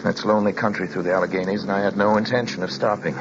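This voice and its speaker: A man as a low-voiced uneasy driver. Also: steady tone, very noisy. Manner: measured narration turning nervous and stammering. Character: uneasy male driver recounting a trip. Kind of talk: 1940s radio drama narration. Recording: dull lo-fi with music behind.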